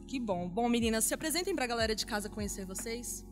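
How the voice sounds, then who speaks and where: A woman's voice: fairly high pitch, expressive, fast talker, loud music behind the voice. A woman hosting a church podcast, welcoming guests and explaining the theme. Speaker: podcast host.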